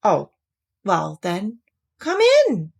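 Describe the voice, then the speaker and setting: A woman's voice: on the low side, big pitch swings, very slow, creaky, darkish tone, slightly rough, full character voice. A woman voicing a cagey, fussy male rabbit in a children's storybook, prim and evasive in manner. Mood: relieved, hospitable.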